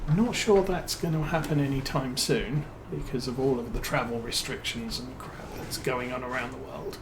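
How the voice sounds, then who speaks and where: A man with a British accent giving relaxed let's-play commentary as a streamer, musing and thinking aloud with plenty of fillers. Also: medium pitch, moderate pace, vocal fry, noisy background. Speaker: game streamer.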